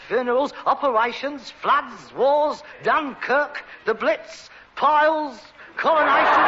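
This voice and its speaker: A man playing an exasperated, pretentious Cockney rag-and-bone man, a put-upon grown son in a sitcom, whining and indignant. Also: very high voice. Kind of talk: British sitcom acting. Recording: dull, noisy old sitcom recording.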